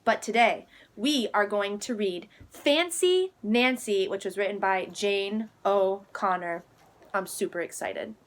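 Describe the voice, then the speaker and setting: A woman's voice: mid-range voice, a little slow. A woman hosting a story time for young children, speaking to them in an upbeat, enthusiastic and encouraging way.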